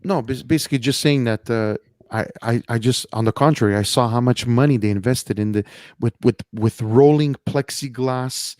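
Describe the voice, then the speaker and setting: A man's voice: medium pitch, moderate pace, darkish tone, no echo, studio quality. A man in a casual podcast chat, hesitant with many fillers, turning indignant. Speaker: podcast guest.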